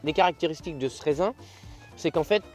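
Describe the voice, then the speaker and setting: A man's voice: a little high, quick pace, a little mellow, soft background music. A man from France chatting enthusiastically about an old car outdoors, with lots of fillers and casual swearing. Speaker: car video co-host. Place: outdoors by a vintage car among vineyards.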